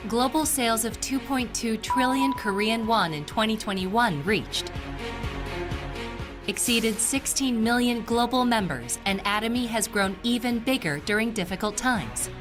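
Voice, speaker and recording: A woman reading a scripted corporate video narration in a steady, announcing way. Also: fairly high pitch, unhurried, over music.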